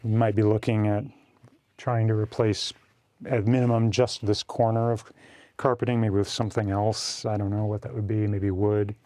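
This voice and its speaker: A man in his forties, the host, narrating a casual walkthrough of an old camper trailer in a thoughtful, measured way with pauses and fillers. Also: lowish voice, flat delivery, dark tone, no room sound. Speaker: vlogger touring a camper. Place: small camper trailer and outdoors, plus a dim room for to-camera parts.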